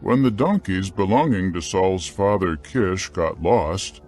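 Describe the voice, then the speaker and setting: A man narrating scripture from a script in a solemn, measured, carefully phrased dramatic style. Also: low-pitched, a little mellow.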